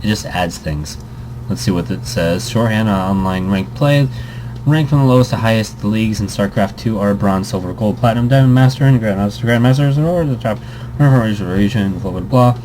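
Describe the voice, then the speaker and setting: A man's voice: fairly low pitch, brisk, darkish tone. A man doing casual let's play commentary, reading game text aloud and breaking off into rambling asides. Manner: rambling, self-interrupting asides. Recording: noisy amateur home recording.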